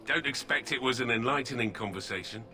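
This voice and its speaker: Surly prison guard; sharp, piercing tone, grumbling. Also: male voice, mid-range voice, fast, faint music behind. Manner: surly, complaining. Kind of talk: video game voice acting.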